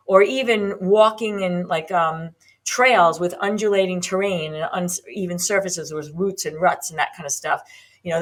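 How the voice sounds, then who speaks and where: A woman's voice: fairly low pitch, a bit bright. A woman in her late fifties hosting a podcast as a fitness trainer, talking in a rambling, chatty way with frequent ums and restarts. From United States. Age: 50s. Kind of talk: solo podcast talk.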